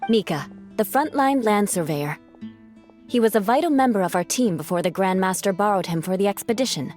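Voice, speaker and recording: Game knight captain, played by a woman: young, reserved, formal, mid-pitched with lively intonation, music underneath. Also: a little fast, close to an everyday voice. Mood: composed. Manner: composed, formal, matter-of-fact. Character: proud, reserved young female knight captain, matter-of-fact. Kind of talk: video game voice acting.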